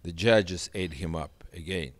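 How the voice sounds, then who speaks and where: Man, middle-aged, English, very low voice, emphatic, repeats himself, handheld mic. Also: unhurried. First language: Russian. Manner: earnest, emphatic, repeats phrases. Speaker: boxing manager. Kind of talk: sit-down interview. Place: indoor studio set with sofa.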